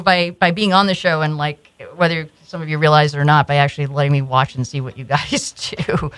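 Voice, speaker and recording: A woman from New York City hosts an internet radio show, chatting casually with guests. Her voice sits a little low, and she laughs easily between loose, rambling sentences full of fillers and restarts. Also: no room sound. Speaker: radio host. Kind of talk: casual studio chat.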